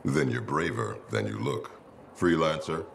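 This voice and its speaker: An actor playing a stern military commander in a video game, curt, deadpan and authoritative. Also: male voice, extremely low pitch, unhurried, gravelly, a little hiss or hum, full character voice. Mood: dry, stern.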